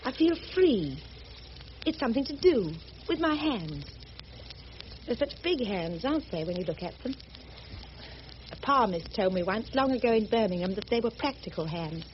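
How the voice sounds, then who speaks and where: High-voiced, prim spinster. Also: female voice, big pitch swings, normal speed, pauses often. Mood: earnest, shy. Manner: proper, earnest, gently insistent. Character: prim, earnest, sheltered missionary spinster with quiet resolve. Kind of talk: radio drama acting. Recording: muffled, noisy old radio recording.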